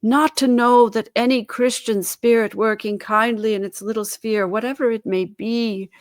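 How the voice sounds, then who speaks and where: A woman voicing the mournful, tormented ghost of a dead businessman in a dramatised storybook reading, with slow, wailing words that sink into breathy whispers. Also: a little high, steady tone, dry recording, clean studio sound, voice pushed up, natural voice. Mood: anguished.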